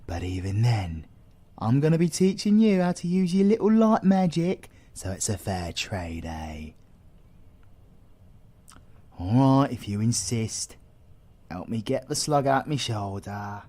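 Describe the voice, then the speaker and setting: A man's voice: mid-range voice, big pitch swings, unhurried, pauses often, warm tone. A British man playing a cocky, foul-mouthed supervillain in an audio roleplay, crude, teasing and sarcastic. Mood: grudging. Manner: crude, teasing, sarcastic, heavy slangy swearing. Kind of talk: audio roleplay acting addressed to the listener.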